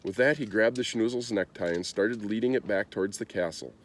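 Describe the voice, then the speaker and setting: A man reading a children's story aloud in an expressive storytelling style. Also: on the low side, fairly quick. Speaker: storybook reader.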